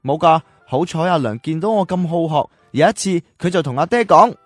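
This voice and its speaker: A man playing a gentle, poor cowherd boy who loves learning in a radio drama, telling his story earnestly. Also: fairly high pitch, lively intonation, brisk, dry recording, faint music behind.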